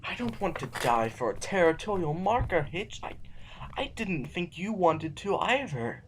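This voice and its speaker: Man playing an anguished, higher-voiced idealist rebel. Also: big pitch swings, moderate pace. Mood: pleading. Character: anguished idealist rebel, reluctant fighter, bitterly philosophical. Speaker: audio drama voice actor. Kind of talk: science fiction audio drama acting. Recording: faintly noisy amateur recording.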